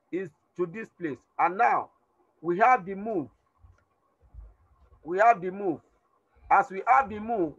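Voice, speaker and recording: A man with a hoarse, tired voice teaching a live online trading class, repeating himself and urging students to respond. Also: high-pitched, a bit bright, lo-fi sound. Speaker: trading instructor. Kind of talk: live online class over a chart screen.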